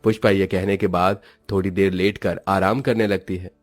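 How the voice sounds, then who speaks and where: Low-voiced male story narrator, dry sound. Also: little pitch movement, normal speed, no room sound, soft background music. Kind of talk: scripted cartoon story narration.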